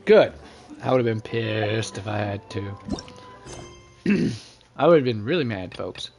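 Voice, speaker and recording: A man's voice: fairly low pitch, animated, unhurried, darkish tone, soft background music. A man giving casual, rambling live commentary as a game streamer.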